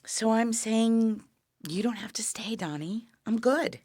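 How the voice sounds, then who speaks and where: An actress in an audio play playing a dying younger sister, weary, wry and resigned, with a frail, halting, breathy delivery that drops to whispers. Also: female voice, a little high, darkish tone, no room sound, studio quality. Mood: wry, tired. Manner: frail, halting, wryly understated. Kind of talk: intimate audio play acting.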